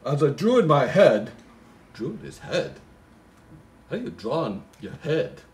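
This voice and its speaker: A man's voice: a little high, sing-song, a little slow. A man playing a goofy, baffled storybook reader who mixes poem recital with slangy, mock-indignant muttered asides. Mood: puzzled. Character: Floyd, goofy, baffled, easily sidetracked male storybook reader. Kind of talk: comic poem reading with rambling asides.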